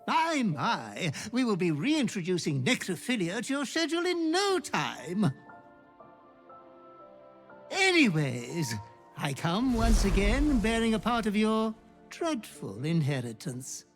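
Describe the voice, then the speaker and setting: Wildly swooping, fawning fiendish butler. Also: male voice, sits high, huge pitch swings, slow, faint music behind, exaggerated voice. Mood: gleeful fawning. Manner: theatrical, obsequious, florid wording. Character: fawning fiendish old butler creature, gleefully depraved. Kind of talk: video game character acting.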